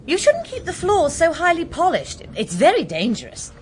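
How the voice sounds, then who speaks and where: A woman's voice: extremely high pitch, huge pitch swings, normal speed, slight background noise, exaggerated voice. An actress playing an eager, impulsive young woman on a ship. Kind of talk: radio drama acting.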